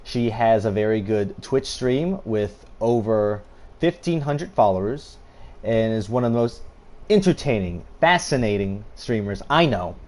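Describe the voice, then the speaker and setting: A man's voice: fairly low pitch, animated, a little slow, darkish tone. A man hosting a casual interview and teasing his guest with jokes. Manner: joking, teasing. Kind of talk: casual interview over gameplay.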